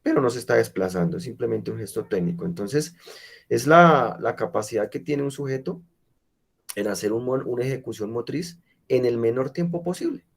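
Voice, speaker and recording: Profe colombiano resfriado, voz rasposa, explica despacio y pregunta seguido. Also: male voice, medium pitch, normal speed. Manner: explanatory, many rhetorical checks. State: has a cold. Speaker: physical education teacher. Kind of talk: online class lecture.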